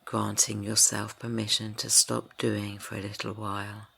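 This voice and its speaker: A man guiding a yoga nidra rest for grief, speaking slowly and softly, drifting into whispers. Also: on the low side, monotone, vocal fry. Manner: slow, soft and soothing, drifting into whispers. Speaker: meditation guide. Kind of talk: guided yoga nidra meditation.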